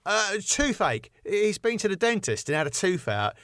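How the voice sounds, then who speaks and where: A man voicing a breezy, cheeky, quick-thinking lad who bluffs in colloquial speech. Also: sits high, sing-song, fairly bright tone, smooth, no room sound, clean studio sound, pitched above the performer's own voice.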